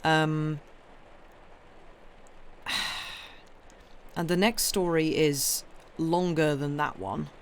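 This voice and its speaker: A young woman with a hoarse, croaky voice chats casually with her viewers after reading a story. She rambles in a friendly, apologetic way, full of ums and restarts. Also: low-pitched, fairly even intonation, drawn-out words, pauses often, a little hiss or hum. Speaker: livestream story reader.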